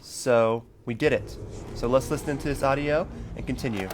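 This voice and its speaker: A man's voice: mid-range voice, moderate pace, background noise. A man giving a casual game walkthrough, explaining each step informally as the host. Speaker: gaming video host. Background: game music.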